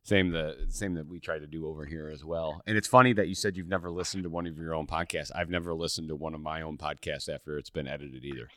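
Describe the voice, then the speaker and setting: A man hosting a hunting podcast, chatting in a relaxed way with lots of fillers and restarts. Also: rumbling low, fast, few pauses, dry recording, studio quality. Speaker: podcast host. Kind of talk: casual podcast conversation.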